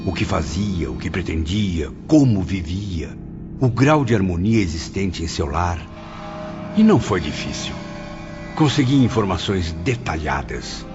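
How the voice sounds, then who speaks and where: A man playing a bitter, vengeful man who confesses his obsessive past in a dramatized audiobook, with dramatic, rancorous delivery. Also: sits low. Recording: dull lo-fi audio under loud music. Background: music.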